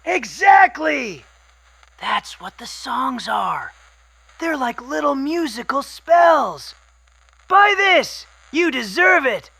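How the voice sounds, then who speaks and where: Guy doing a super loud scavenger dude, bright sharp high voice, yells at the mic. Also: sing-song, slow pace, vocal fry, full character voice, low-quality recording. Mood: excited. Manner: yells everything into the microphone. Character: loud excitable male wasteland scavenger and collector. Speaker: radio guest.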